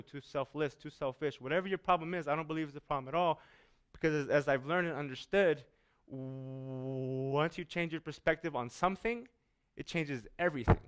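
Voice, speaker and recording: A young man speaks on stage to a live audience in a smooth, resonant voice. He stutters often, catching on first sounds and repeating words. His delivery is earnest and deliberate. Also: medium pitch, fairly bright tone. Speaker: speaker giving a talk. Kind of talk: motivational stage talk.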